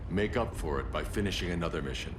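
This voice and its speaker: An actor voicing a grim, commanding vigilante leader in a game, terse and stern. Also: male voice, low-pitched, animated, fast talker, background noise. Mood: stern briefing. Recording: roomy, distant, game music behind.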